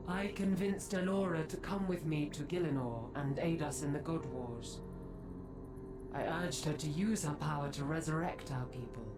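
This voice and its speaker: A woman playing an ancient, stern and remorseful centaur guardian in a fantasy game, speaking gravely and formally. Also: low-pitched, loud music behind the voice, close to an everyday voice. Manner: grave, slow, formal delivery. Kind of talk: dramatic fantasy game voice acting.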